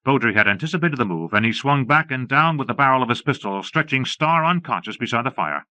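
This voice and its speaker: A man's voice: medium pitch, lively intonation, fast, sharp tone, no echo. A man reading scripted western narration for an audiobook, recorded close and dry on a slightly dull cassette.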